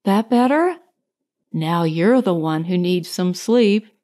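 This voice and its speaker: A woman voicing an affectionate, teasing woman who cares for a patient, gentle and reassuring, in audiobook dialogue. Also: on the low side, animated, takes it slowly, voice pushed down. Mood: tender.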